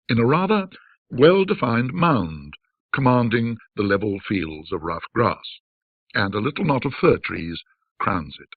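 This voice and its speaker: Male narrator for literary ghost tales: husky, expressive intonation, measured and reflective. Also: on the low side, no room sound, narrow, tinny audio. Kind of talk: scripted ghost story narration in classic British storytelling manner.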